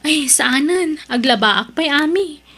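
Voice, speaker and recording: A woman's voice: sits high, animated, takes it slowly, bright, forceful tone, full character voice. A woman playing a young, teasing housemaid in a radio drama. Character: young housemaid, gossipy coworker.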